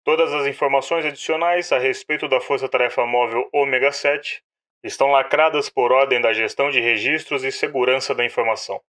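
A man's voice: mid-range voice, steady tone, brisk, a bit bright, natural voice. A man playing a formal director who reads out a classified file in a clinical, official manner for an audio drama. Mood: formal, grave. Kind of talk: scripted narration of an official report.

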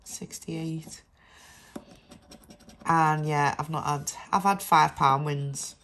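A woman's voice: low voice, steady tone, slow, fairly bright tone. A woman with a head cold, chatting casually as she scratches lottery cards and reads out numbers and letters. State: congested with a cold. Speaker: scratch card vlogger. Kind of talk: casual narration over scratch card video.

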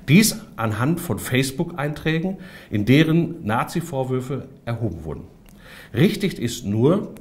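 A man delivering a prepared political statement in a formal, stiff and emphatic manner. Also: medium pitch. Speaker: politician, party spokesman.

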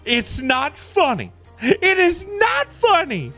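A man's voice: very high-pitched, huge pitch swings, takes it slowly. A middle-aged American man hosting a casual gaming livestream, rambling and repeating himself in loose slang. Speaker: livestream host, gamer. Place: indoor home streaming setup. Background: background music.

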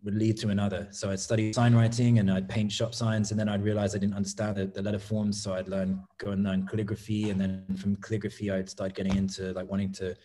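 An English man in his thirties, a guest artist, talking casually over a video call from his home studio, thoughtful, with many pauses and restarts. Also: fairly low pitch, little pitch movement, mellow. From England. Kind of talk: casual interview chat. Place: home studio room, indoors. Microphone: laptop webcam video call.

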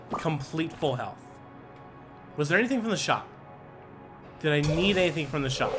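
A man giving casual, excitable commentary as he plays a video game, often talking to himself. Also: a little high. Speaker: gaming YouTuber. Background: game music and gunfire.

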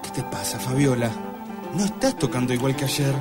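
A man's voice: mid-range voice, lively intonation, a little fast, lightly acted. Man playing an Argentine piano teacher, encouraging but shifty. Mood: coaxing. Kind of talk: comic radio drama acting.

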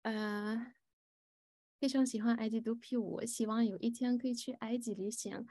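Slow, hesitant woman. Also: fairly high pitch, steady tone, dark tone. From Egypt. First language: Arabic. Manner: slow and hesitant, pausing with many fillers; switches between Chinese and English. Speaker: guest speaker answering audience questions. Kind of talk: live Q&A at a student forum.

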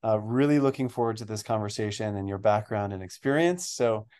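A man's voice: lowish voice, a little fast, darkish tone, clean tone. A man presenting and interviewing as the host of a webinar.

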